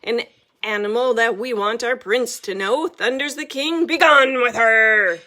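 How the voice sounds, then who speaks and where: A woman's voice: a little high, measured pace, pushed and bright. A woman voicing a haughty, easily outraged animal king in a children's story, growling and thundering.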